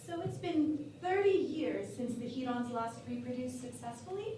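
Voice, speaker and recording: A sensible, exasperated female starship officer, played by a woman in a parody radio play. Her high voice moves slowly and pointedly. The room is very echoey, with clear background noise. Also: expressive, recorded from a distance. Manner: pointed, corrective.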